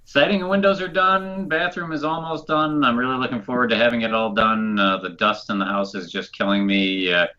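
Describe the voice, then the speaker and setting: A man's voice: medium pitch, fairly even intonation, fairly quick, hardly any pauses, bright, forceful tone. A man co-hosting a talk show in casual chat, with a nasal, congested voice, on a dull, lo-fi recording. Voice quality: nasal, stuffed-up. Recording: dull, lo-fi sound.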